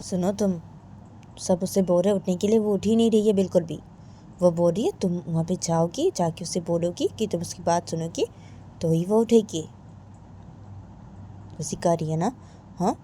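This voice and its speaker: A woman voicing a patient mother who gently pleads with her daughter. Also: lowish voice, moderate pace, pauses often, slight background noise, lightly acted. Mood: coaxing. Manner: soothing, pleading, half-whispered at times. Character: coaxing gentle mother, patient and soft-spoken.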